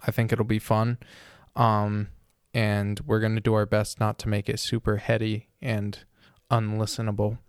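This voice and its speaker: A man hosting a casual podcast, husky and sick with a sore throat, talking in a thoughtful, rambling way. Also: lowish voice, monotone, moderate pace, few pauses, no echo, clean studio sound. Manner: thoughtful, rambling, self-correcting. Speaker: podcast host.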